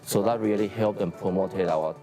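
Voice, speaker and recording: A man in his forties from Taiwan, a hotel and restaurant owner, speaking in a measured interview outdoors on a lapel mic. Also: sits low, over music. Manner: plain, measured business talk with pauses.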